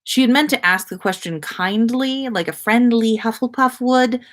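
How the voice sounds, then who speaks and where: A woman narrating a written story aloud in an expressive, measured storybook manner. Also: on the high side. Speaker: podcast host reading listener fan fiction.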